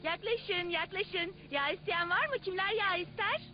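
Squeaky little cartoon vendor creature. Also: female voice, moderate pace. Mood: inviting, hawking. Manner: calling out like a street vendor. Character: tiny squeaky cartoon fat-vendor creature hawking wares. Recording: muffled cartoon soundtrack with music.

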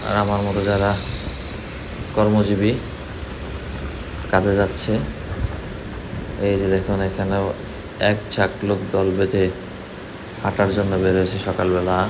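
A Bangladeshi-accented man narrates his motorbike ride in a casual vlog style. His voice sits on the low side and stays very flat in pitch. Clear road and vehicle noise runs behind him. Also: a little slow, pauses often. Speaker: vlogger. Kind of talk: casual commentary while riding a motorbike. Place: outdoors on a moving motorbike in city streets.

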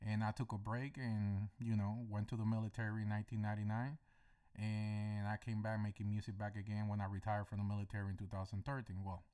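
A man's voice: on the low side, level tone, fairly quick, dark tone, clear, resonant tone. Middle-aged man. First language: Spanish. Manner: loose, rambling explanations with many fillers. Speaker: music producer tutorial host. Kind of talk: screen-recorded software tutorial.

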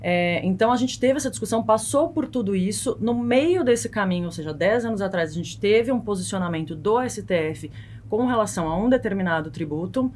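A woman's voice: mid-range voice, lively intonation, brisk, darkish tone, slight background noise. A woman in her thirties with a Brazilian accent explains things conversationally as an expert podcast guest, often checking in with short tag questions. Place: small foam-padded podcast studio. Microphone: close studio mic on boom arm.

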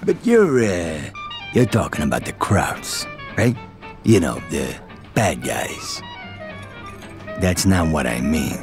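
An actor playing a smug middle-aged mafioso in a video game, slangy and condescending. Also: male voice, low voice, wildly swooping pitch, slow pace, pauses often, over music, exaggerated voice. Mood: condescending, persuasive. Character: swaggering middle-aged male mafia boss's underling recruiting men. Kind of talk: video game cutscene acting.

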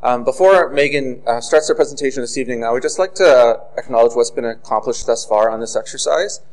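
A man, a professional planner, giving a formal presentation at a podium microphone in a council chamber, speaking loudly and emphatically with many "uh"s. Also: medium pitch, fast talker, rough recording. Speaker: consulting planner.

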